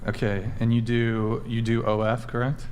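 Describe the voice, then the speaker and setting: Male panel host, middle pitch, pushy quick questioning, faint background noise. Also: completely flat delivery, a little mellow. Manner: quick, probing questions with restarts. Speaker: podcast host. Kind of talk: casual panel chat.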